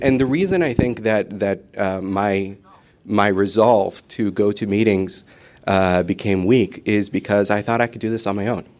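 A man sharing his recovery on a conference panel, halting often with fillers and restarts. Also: lowish voice, darkish tone. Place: conference meeting room.

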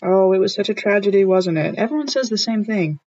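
A woman's voice: mid-range voice, animated, fairly quick, a little mellow, husky, muffled recording. A woman playing a tabletop roleplaying game, speaking casually and inquisitively in character. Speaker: tabletop RPG player.

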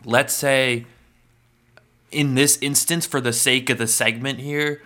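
Bright-voiced man hosting a podcast. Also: medium pitch, fairly even intonation, slow pace. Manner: playful, sets up role-play bits. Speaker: podcast co-host. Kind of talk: casual comedic podcast chat.

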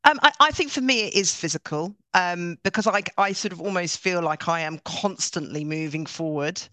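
A middle-aged woman from Norway, a chief executive, talking in an upbeat, energetic way with frequent restarts as an interview guest. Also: lowish voice, normal speed, no room sound. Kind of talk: casual interview talk.

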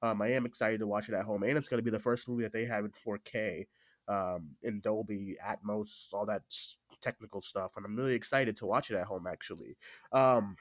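A man with a stuffy cold hosts a casual podcast, talking in a halting way that often doubles back on phrases. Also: lowish voice, fairly even intonation, dry recording. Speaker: podcast host.

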